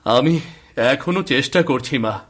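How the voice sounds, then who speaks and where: A man voicing a devoted, gentle village husband and son in a cartoon, earnest and plaintive. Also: medium pitch, lively intonation, normal speed, natural voice. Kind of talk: animated cartoon voice acting.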